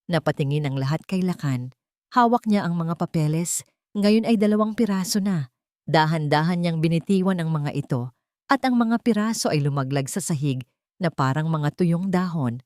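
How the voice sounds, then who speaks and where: A woman reading a dramatic scripted story as narrator, in a measured and solemn delivery. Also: lowish voice, expressive, a little mellow, no room sound, clean studio sound.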